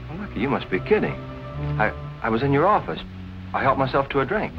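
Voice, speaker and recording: An actor playing an anxious husband suspected of murder, earnest and insistent, in 1940s film noir style. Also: male voice, medium pitch, very animated, quick pace, music in the background, natural voice, low-quality recording. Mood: insistent, accusing.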